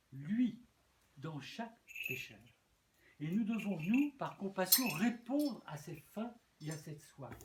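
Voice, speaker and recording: A man preaching a sermon as a bishop, earnest, often repeating words and restarting. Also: fairly high pitch. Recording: echoey room with faint noise.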